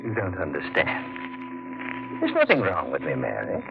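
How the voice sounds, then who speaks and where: A man's voice: rumbling low, huge pitch swings, moderate pace, gravelly, music in the background, heavily acted. An actor playing an eccentric, domineering old rich man in a 1940s radio drama. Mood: scheming. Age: older. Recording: tinny old radio broadcast.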